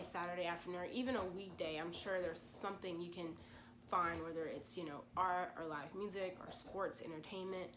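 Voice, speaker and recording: A woman's voice: lowish voice, background noise. Congested young woman vlogging. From Louisiana, USA. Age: 20s. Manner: chatty, frequent "you know" asides. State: congested from a cold. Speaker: vlogger and pre-med gap-year student. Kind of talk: casual explanatory vlog talk.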